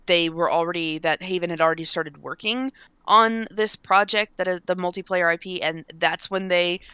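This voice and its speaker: Bright, clear-voiced woman, slightly congested. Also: on the low side, moderate pace, dry recording. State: mildly congested. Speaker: podcast panelist discussing video games. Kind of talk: casual panel discussion.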